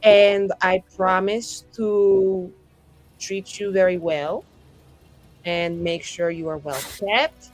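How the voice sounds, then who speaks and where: A woman's voice: mid-range voice, steady tone, slow pace, faint music behind, close to an everyday voice, low-quality recording. A woman voicing a brash, headstrong warrior lover in a tabletop game, bawdy and playful but earnest. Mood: teasing, tender.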